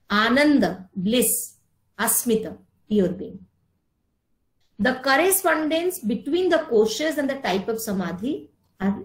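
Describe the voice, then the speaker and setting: A middle-aged woman with an Indian accent giving an instructive talk in short, deliberate, emphatic phrases, explaining terms as she goes. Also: a little high. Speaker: speaker giving a yoga philosophy talk. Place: indoor room, online meeting. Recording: roomy, echoing virtual meeting audio.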